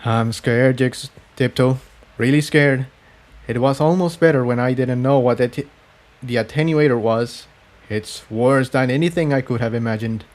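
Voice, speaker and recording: A man's voice: mid-range voice, moderate pace, darkish tone, close to an everyday voice. A man voicing a serious, burdened male pony in a fantasy adventure story, earnest and brooding as he explains. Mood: frightened. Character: earnest, burdened male pony, former water department head.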